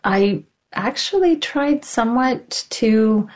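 Mellow-voiced woman translator. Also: fairly high pitch, animated, slow. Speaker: literary translator. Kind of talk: interview answers.

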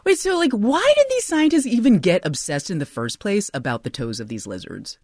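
A woman radio host whose pitch swoops all over the place as she chats. She fires off quick, surprised reactions. The studio sound is close and dry. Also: no room sound. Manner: lively, reacts with quick exclamations. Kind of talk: conversational interview. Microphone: studio mic.

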